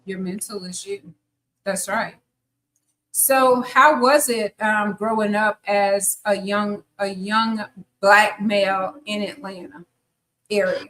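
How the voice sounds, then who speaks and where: A woman's voice: mid-range voice, steady tone, takes it slowly, fairly bright tone, slight background noise, rough recording. A woman in her fifties hosting a live podcast interview, chatty and encouraging. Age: 50s. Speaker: podcast host.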